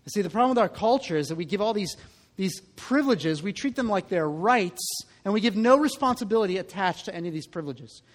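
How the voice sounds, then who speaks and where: A man's voice: sits high, expressive, quick pace, long reverb tail. A man in his thirties preaching a sermon as a pastor, in a conversational way, often repeating the first word of a phrase. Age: 30s.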